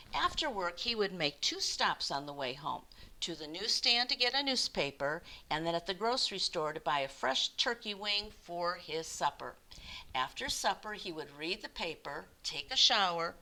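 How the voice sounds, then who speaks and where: A woman reading a picture book aloud to children, expressively and with deliberate emphasis. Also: medium pitch, sharp tone. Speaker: children's storyteller.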